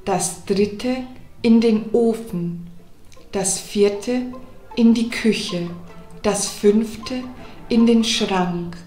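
A woman narrating a scripted children's fairy tale deliberately, pausing between phrases. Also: a little high, a little mellow, roomy sound. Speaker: storyteller.

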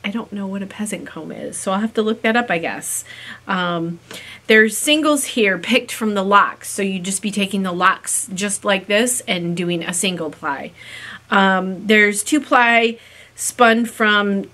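A woman in her fifties, a little raspy from a cold, chats to the camera from a small room at home. Her voice is bright and forward, and she thinks aloud with plenty of ums. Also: medium pitch, measured pace. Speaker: fiber-craft vlogger. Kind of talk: casual chat to camera. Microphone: camera in front at desk distance.

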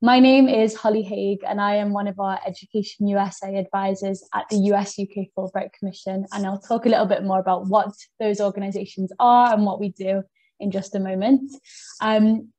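A young British woman hosting an education webinar over a video call. Her tone is mellow and friendly, upbeat, with plenty of "um"s and "kind of"s. The sound is dry and close. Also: mid-range voice, steady tone, fairly quick, dry recording. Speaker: webinar host and education advisor. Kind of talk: presenting a webinar.

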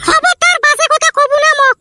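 Squeaky, scornful cartoon village girl. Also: a little fast, heavy background noise. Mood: dismissive. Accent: northern Bengal Rangpuri. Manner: mocking, dismissive. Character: vain, scornful, sharp-tongued village girl. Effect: squeaky pitch-shifted voice. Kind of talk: comic cartoon dialogue.

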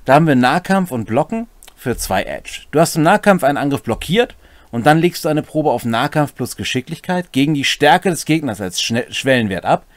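A man's voice: on the high side, lively intonation, brisk, dry recording. A middle-aged man casually explains game rules, adding wry, colloquial asides. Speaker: host of a tabletop role-playing rules series. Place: small furnished room at home, indoors.

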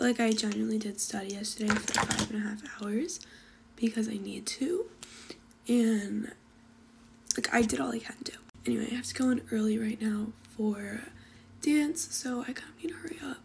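A teenage girl chatting casually while doing her makeup, talking in a soft half-whisper and filling her sentences with "like", recorded on a phone at arm's length in her bedroom. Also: fairly high pitch, normal speed, creaky, slight background noise. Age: teens. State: losing her voice from coughing. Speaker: vlogger. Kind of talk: casual get-ready-with-me chat. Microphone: phone camera at arm's length.

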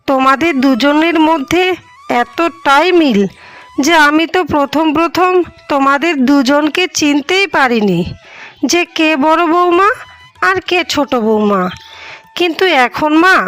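A gentle old mother-in-law from a storybook cartoon, soft and fussing, her voice pitched well up, as a woman acts her out. Faint music plays under her words. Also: a little slow. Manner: tender, fussing, blessing. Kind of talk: dubbed cartoon voice acting.

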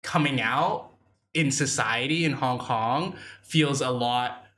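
A man's voice: mid-range voice, slow pace, pushed and bright. A young man hosting a film podcast, enthusiastic and encouraging as he interviews his guest. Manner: enthusiastic, encouraging, lots of backchannel. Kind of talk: casual interview talk.

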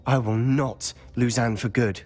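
A man's voice: mid-range voice, fairly even intonation, a little slow, a little mellow, natural voice. A young man with a British accent playing a headstrong teenage wizard in a video game, intense and insistent. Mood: bitter, determined. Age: young adult. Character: headstrong, defiant teenage schoolboy wizard, protective brother. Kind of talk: video game voice acting, dramatic dialogue. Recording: game audio with music underneath.

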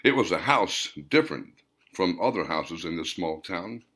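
A man reading a story as a scripted audiobook narration, in deliberate, dramatic phrases broken by pauses. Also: deep voice, lively intonation, fairly bright tone. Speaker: author reading own novel, narrator.

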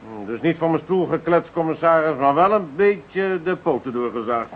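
A man's voice: a little high, lively intonation, normal speed, narrow, tinny audio, close to an everyday voice. A man acting a small, sardonic police inspector in a radio play, dry and impudently polite. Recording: thin band-limited radio drama recording, slight noise.

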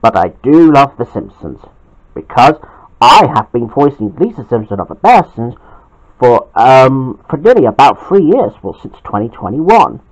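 An amateur storyteller reading aloud and talking to viewers in a halting manner with drawn-out words. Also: mid-range voice, very animated, poor audio. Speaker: storyteller and channel host.

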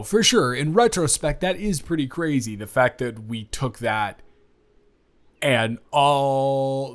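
A man playing an excitable, incredulous young influencer friend in an improvised audio roleplay, rambling emphatically to one listener. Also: mid-range voice. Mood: incredulous, amused. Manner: rambling, emphatic, halting, with dropped asides.

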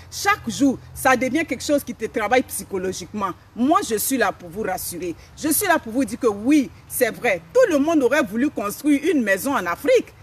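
A woman's voice: a little high, breathy edge. Emphatic woman preaching outdoors. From Africa. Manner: emphatic, preachy, repeats phrases. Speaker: live-stream host. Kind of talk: motivational live-stream talk. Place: forest clearing, outdoors. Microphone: phone camera at a few steps.

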